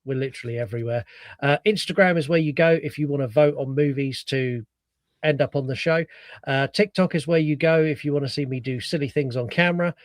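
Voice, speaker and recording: A man's voice: mid-range voice, brisk, no room sound. A man hosting a film podcast while he has a cold, sounding nasal as he chats and plugs the show. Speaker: podcast host. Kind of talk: casual chat and show plugs.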